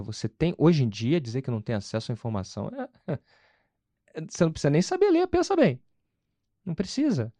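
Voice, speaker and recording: A man's voice: mid-range voice, darkish tone, no room sound. A man with a Carioca Brazilian accent, speaking as an interview guest in an emphatic, explanatory way, often asking and answering his own questions. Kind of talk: conversational testimony about personal faith.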